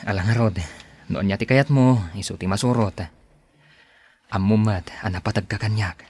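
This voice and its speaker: A man's voice: fairly low pitch, moderate pace, a little mellow, husky. A man acting a mild, yielding husband home from abroad in a podcast drama. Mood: resigned.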